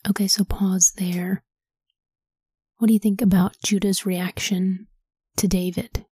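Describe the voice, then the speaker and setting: Woman, soft husky whisper, Bible study reader, close and dry. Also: mid-range voice, level tone, unhurried, dry recording. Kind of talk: whispered scripture reading with study questions.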